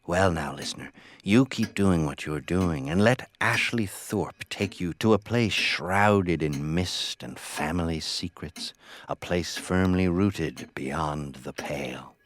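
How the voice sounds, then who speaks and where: A man hosting an audio horror anthology, delivering a theatrical scripted introduction in a deliberate, playfully ominous manner. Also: low-pitched, a little hiss or hum. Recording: voice over prominent music.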